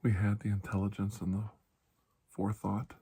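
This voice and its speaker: A man playing a calm, reassuring doctor in an ASMR roleplay, speaking softly and breathily, often close to a whisper, with a measured, halting delivery. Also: on the low side. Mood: solemn, hushed. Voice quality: soft, breathy, husky, often near whisper. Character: calm, reassuring male doctor treating a patient. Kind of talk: ASMR roleplay, hushed storytelling.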